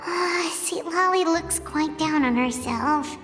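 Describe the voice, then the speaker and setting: A woman's voice: high-pitched, takes it slowly. A woman voicing a tiny chirpy female fairy guide in a video game, talking about herself in third person. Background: game music.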